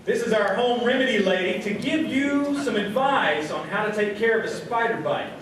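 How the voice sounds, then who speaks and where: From a distance in a very echoey space, a man acts as a small-town station announcer. He has a high voice for a man and presents with genial, folksy courtesy in a comic radio play. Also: expressive, moderate pace, fairly bright tone. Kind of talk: radio theatre announcing before an audience. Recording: distant, very echoey stage, faint noise.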